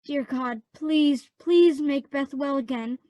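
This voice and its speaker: A woman's voice: sits high, slow pace, dark tone, no room sound, clean studio sound. A woman playing a remorseful young girl in a modern radio play, pleading with childlike earnestness.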